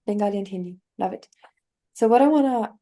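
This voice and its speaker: A young woman in her twenties, a native German speaker, explains her language-learning method in an interview. Her voice is mellow and warm at an ordinary middle pitch. She talks eagerly and lays out each step with examples. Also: a little fast. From Northern Italy. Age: 20s. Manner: enthusiastic, explanatory, walks through steps with examples. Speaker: language coach. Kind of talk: interview conversation.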